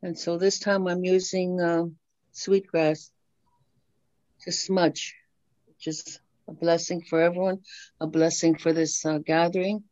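An older woman whose first language is Dakota, an elder opening a gathering with a slow, gentle blessing and teaching, soft-spoken with many pauses, speaking from home on a webcam. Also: on the low side, level tone, no echo. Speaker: elder opening a meeting with prayer. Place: home room, indoors. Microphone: laptop webcam on video call. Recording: dull, dry video-call audio.

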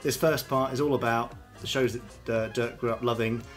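A man's voice: mid-range voice, fairly even intonation, fairly quick. A man co-hosting a podcast, trading dry jokes in casual chat, with faint music behind. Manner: dry, joking banter. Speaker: podcast co-host.

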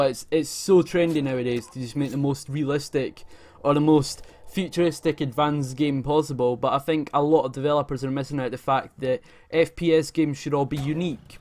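A man's voice: mid-range voice, darkish tone. A man, giving casual, enthusiastic gaming commentary in a sweary, informal way. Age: 20s. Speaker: gaming video creator. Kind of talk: casual gaming news commentary over gameplay. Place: indoor room at home. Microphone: desk microphone close to mouth.

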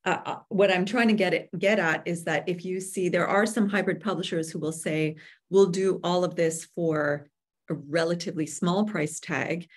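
A woman in her forties with a slightly low voice speaks as an expert guest on an online webinar. She explains things in measured phrases, talking over a video call from an indoor room. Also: fairly even intonation. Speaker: guest expert, hybrid publisher. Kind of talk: webinar interview answers. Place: room with bookshelves at home or office, indoors. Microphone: computer video call.

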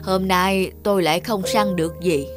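A man's voice: high-pitched, steady tone, normal speed, lightly acted. Man acting a kindly old cartoon hunter. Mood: resigned. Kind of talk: cartoon fairy-tale acting. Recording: music under the voice.

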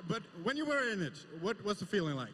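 A man's voice: high voice, sing-song, fast, a bit bright, in a large hall. A man co-hosting a convention stage interview, speaking hesitantly with fillers. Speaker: stage host.

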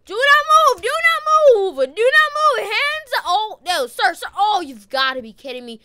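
High, animated, excitable young-sounding gaming commentator. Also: unhurried, no room sound. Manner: exclamatory, urgent, slangy. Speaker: gaming video host playing a police officer. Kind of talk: excited gameplay commentary with police roleplay.

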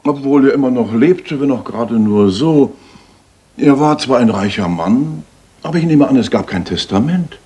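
An actor playing a shrewd, self-assured Victorian master detective in a radio play, speaking in a probing, precise way. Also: male voice, mid-range voice, expressive, normal speed, mellow, breathy edge.

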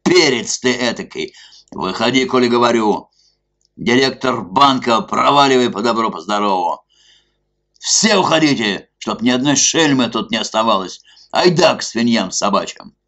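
Brief: man plays a rowdy drunk merchant, thick muffled bass, loud and coarse. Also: sing-song, unhurried, gravelly, full character voice. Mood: bullying. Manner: rowdy, bullying, coarse folksy speech. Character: drunken boorish masked merchant, middle-aged man.

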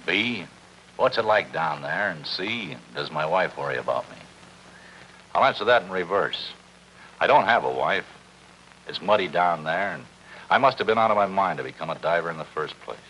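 An American actor playing a weary, sardonic deep-sea diver in a 1950s crime drama, talking in a wry, laconic way. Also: male voice, expressive, moderate pace, sharp tone, rough recording. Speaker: supporting actor. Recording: 1950s TV film soundtrack, faint noise.

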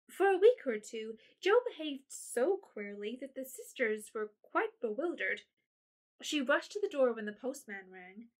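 A woman narrating a classic story in a measured, gentle manner. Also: fairly high pitch.

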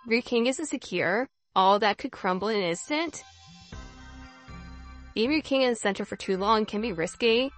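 A woman's voice: fairly high pitch, animated, moderate pace, faint music behind, close to an everyday voice. A woman voicing a friendly, encouraging schoolgirl who leads a chess class in a visual novel, in an instructive manner. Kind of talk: reading visual novel dialogue aloud.

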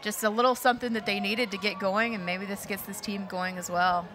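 A woman giving color commentary on a televised softball game in a conversational, analytical way. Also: mid-range voice, steady tone, brisk. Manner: conversational analysis with casual fillers.

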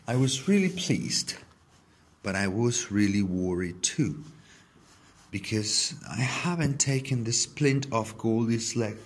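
A man reading a children's story aloud in the first person, with deliberate, dramatic phrasing. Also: fairly low pitch, slight background noise. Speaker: story reader.

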